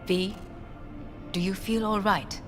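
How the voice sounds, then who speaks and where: An actress playing a composed, calculating corporate heiress in a video game, with formal, measured, commanding speech. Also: female voice, mid-range voice, fairly even intonation, natural voice. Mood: insistent, cool. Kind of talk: video game dialogue acting. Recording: music behind the voice.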